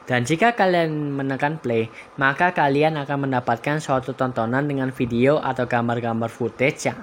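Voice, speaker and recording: A man with an Indonesian accent hosting a video in an informal commentary style. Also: medium pitch. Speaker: host of a horror recommendation channel. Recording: clear background noise and ambience.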